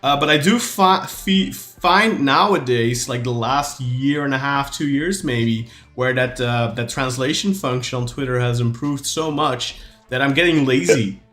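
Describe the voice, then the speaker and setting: Guy cohosting a podcast, rambles a lot, restarts his sentences, middle pitch, echoey room. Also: measured pace, fairly bright tone, faint music behind. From raised outside Turkey. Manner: rambling, many restarts and fillers. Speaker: podcast co-host. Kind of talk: casual podcast chat. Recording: roomy echo.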